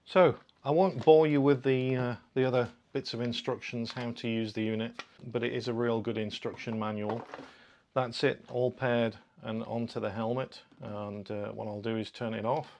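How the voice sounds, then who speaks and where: A man's voice: medium pitch, fairly even intonation, normal speed, a little mellow, a little hiss or hum. A man presenting a product in a casual, informal way, explaining things step by step as he demonstrates. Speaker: product review host. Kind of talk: casual unboxing and fitting demonstration.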